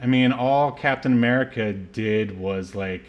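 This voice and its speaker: A man's voice: medium pitch, unhurried, highs cut off. A man hosting a podcast, talking through a film in a casual, rambling and hesitant way. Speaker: podcast host. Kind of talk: casual film review chat.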